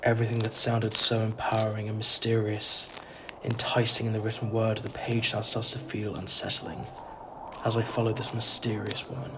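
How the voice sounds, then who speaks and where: A man's voice: fairly low pitch, flat delivery, normal speed, lightly acted. An actor playing a polite, uneasy traveller in an audio drama, earnest and hesitant in his delivery. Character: uneasy, polite male traveller narrating his strange homecoming. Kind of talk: audio drama narration and dialogue. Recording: clearly noisy ambience behind. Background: ambience noise.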